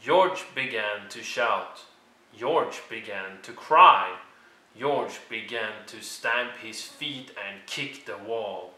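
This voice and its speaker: A man reading a children's picture book aloud as narrator, deliberate, clearly phrased and expressive. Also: fairly low pitch, reverberant. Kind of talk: read-aloud storybook narration.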